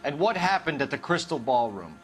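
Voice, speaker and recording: A stern homicide detective from a 1940s crime game. He questions in clipped, formal phrases with a very mobile pitch, pressing and accusing. Music plays softly behind him. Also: big pitch swings, brisk. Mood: businesslike. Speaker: police detective. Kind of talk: video game cutscene interrogation acting.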